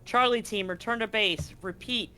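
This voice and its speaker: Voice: medium pitch, moderate pace, pushed and bright, voice pushed up, close to an everyday voice. A game master voicing an urgent military radio dispatcher in a tabletop game, giving clipped, commanding, repeated orders. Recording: music under the voice.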